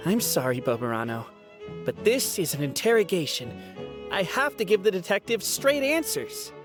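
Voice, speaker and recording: A woman's voice: on the low side, big pitch swings, moderate pace, over music. A woman playing a prim, earnest, matter-of-fact character in a fantasy game, speaking formally. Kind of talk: video game voice acting.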